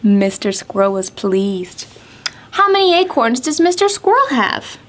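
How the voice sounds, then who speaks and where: A woman storytime host reading aloud expressively to small children, phrasing clearly and asking them questions. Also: on the high side, sing-song, measured pace, slight background noise.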